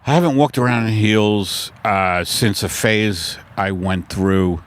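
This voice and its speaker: A man's voice: on the low side, takes it slowly. A man hosting a casual comedic chat, sardonic and ranting, recorded outdoors in a park. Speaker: podcast host. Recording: faint outdoor ambience.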